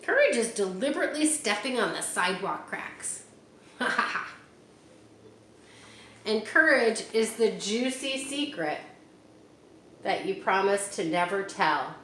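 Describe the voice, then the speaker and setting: Woman reading a picture book aloud, slow, in a roomy space. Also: mid-range voice. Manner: animated, talks directly to young listeners. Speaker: children's book reader. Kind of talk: read-aloud storytime with chatty asides to kids. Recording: roomy echo, faint ambient hiss.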